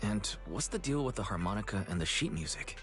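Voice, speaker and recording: An actor playing a young male proxy in a video game, level-headed, measured and inquisitive. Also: on the low side, animated, faint music behind, natural voice. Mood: puzzled, probing.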